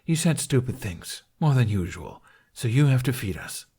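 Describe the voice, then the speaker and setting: Man playing a gravelly, sardonic male dragon. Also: mid-range voice, big pitch swings, dark tone, voice pushed up. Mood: bossy. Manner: dry, blunt, deliberate retorts.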